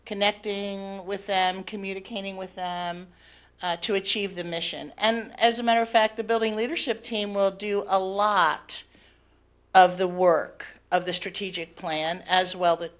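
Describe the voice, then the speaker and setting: A woman from the teachers' union with a naturally loud voice, presenting to a school board at a podium microphone and talking partly off the cuff with fillers. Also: medium pitch, steady tone, measured pace. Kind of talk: semi-prepared public presentation. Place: board of education meeting room.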